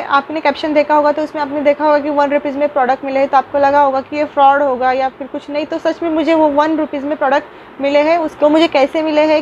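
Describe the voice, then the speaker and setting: A woman's voice: sits high, dull audio, a little hiss or hum. A young woman chatting casually through an unboxing vlog in a small room, talking into a phone camera with lots of fillers and restarts, mixing English words into her sentences. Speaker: vlogger.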